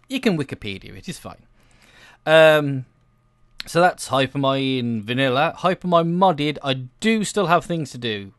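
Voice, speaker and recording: A man's voice: mid-range voice, fairly bright tone, dry recording, clean studio sound. A man chatting casually over gameplay in a hesitant, self-deprecating, rambling way, like a video creator thinking aloud.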